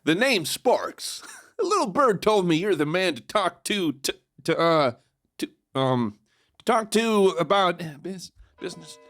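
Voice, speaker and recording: An actor playing a cocky, swindling Old West outlaw who talks with folksy swagger in an audio drama. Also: male voice, sits high, sing-song, measured pace, a bit bright, exaggerated voice. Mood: flustered. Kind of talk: audio drama narration and dialogue.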